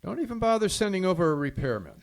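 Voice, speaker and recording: An actor playing a brisk, wisecracking private detective in a live radio drama, terse and impatient. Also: male voice, sits high, very animated. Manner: terse, impatient, hard-boiled. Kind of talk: live recreation of golden age radio drama. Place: live stage performance in a hall.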